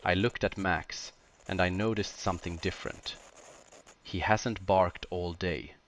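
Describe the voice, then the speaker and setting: A man delivers scripted first-person horror narration in deliberate short phrases with dramatic pauses. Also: low voice, steady tone, a bit bright, slight background noise.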